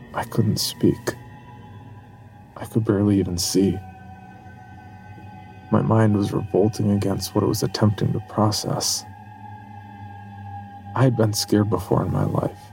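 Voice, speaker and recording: A haunted man looking back on the terror that broke his mind. His voice is low and gravelly, delivered with grave, dramatic deliberation as first-person horror narration over faint music. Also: a little mellow.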